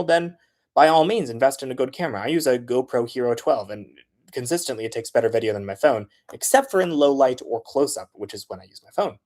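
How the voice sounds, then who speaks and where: Chatty man explaining how he makes videos. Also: mid-range voice. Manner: loose and chatty, frequent filler sounds. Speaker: transit video creator. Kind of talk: casual how-to talk.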